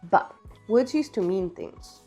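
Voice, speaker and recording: A woman chats through book opinions like a vlog host, in a medium-pitched voice with music behind her. She is candid and opinionated, leaning on slang and emphasis in a casual, conversational style. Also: lively intonation, slow, dark tone.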